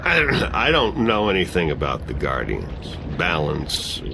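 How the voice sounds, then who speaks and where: Dry, chuckling exile in a game, deep voice, slow and lively, hiss behind. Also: male voice, gravelly, heavily acted. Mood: sardonic. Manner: dry, laughs at his own jokes. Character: sardonic middle-aged male exile, whiskey-loving.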